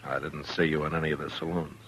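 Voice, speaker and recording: An actor playing a stern, steady frontier marshal in an old western radio drama, speaking in a terse, firm manner. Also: male voice, rumbling low, quick pace. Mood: probing, calm. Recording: noisy old-time radio recording.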